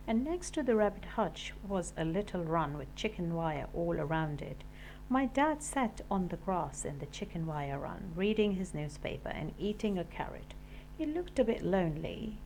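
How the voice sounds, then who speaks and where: A woman narrates a children's story like a teacher reading to a class. Her delivery is dramatic and animated, with lively swings in pitch. There is faint noise in the recording. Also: poor audio.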